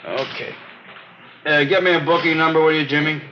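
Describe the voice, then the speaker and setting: A man playing an unhurried booking sergeant in a radio police drama, talking in a routine, plodding way. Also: a little high, fairly even intonation, lightly acted. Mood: unhurried, procedural. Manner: plodding, repeats items back as he writes. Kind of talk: 1940s-50s radio acting, naturalistic. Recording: muffled, noisy old radio recording.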